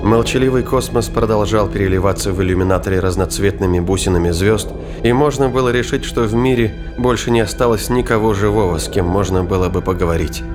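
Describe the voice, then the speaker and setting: Low-voiced male narrator over music. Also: fast talker. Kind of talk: dramatic scripted narration of science fiction thriller.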